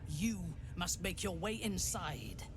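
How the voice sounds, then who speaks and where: A woman playing a menacing, fanatical warband commander in a video game, speaking with slow, deliberate authority. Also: on the low side, sing-song, music in the background. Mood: commanding, confident. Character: menacing female zealot commander of a goblin warband.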